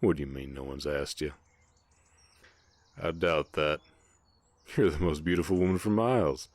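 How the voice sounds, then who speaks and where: A very deep man's performance of an orc ranch hand speaks with an American Southern drawl in a western romance audio drama. He is gruff yet gentle, shy and protective, often halting over his words. The sound is dry and intimate. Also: dry recording. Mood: reluctant, protective. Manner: bashful, halting, softly protective.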